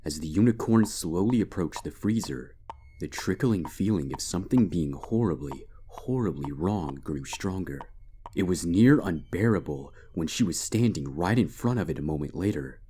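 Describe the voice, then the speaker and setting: A man giving a dramatic scripted narration of a dark fantasy story. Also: deep voice, animated, normal speed, slightly rough. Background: background music.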